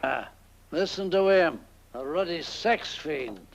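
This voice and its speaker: An actor playing a gruff, scornful, bossy police superior with a British accent and a curt, sardonic manner. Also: male voice, fairly high pitch, lively intonation, slow. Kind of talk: comic film acting. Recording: dull, lo-fi old film soundtrack.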